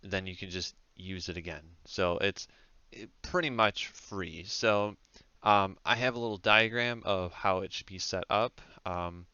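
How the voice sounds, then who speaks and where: Low-voiced man with very crisp, bright delivery. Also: measured pace, no room sound, lo-fi sound. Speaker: tutorial host. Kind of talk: casual step-by-step tech tutorial.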